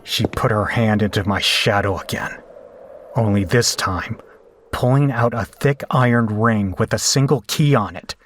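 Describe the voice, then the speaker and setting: A man's voice: fairly low pitch, a little slow, fairly bright tone, slight background noise. A man playing a tormented, sardonic captive who narrates his ordeal in a first-person horror audio drama. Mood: grim, anguished. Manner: weary, sardonic.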